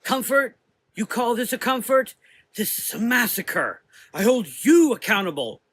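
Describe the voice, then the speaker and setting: A man's voice: extremely high pitch, sing-song, unhurried, fairly bright tone, breathy edge, voice pushed up, full character voice. A man voicing a stern, zealous mother superior of a warrior order, imperious and dramatic, in a gothic audio drama. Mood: livid.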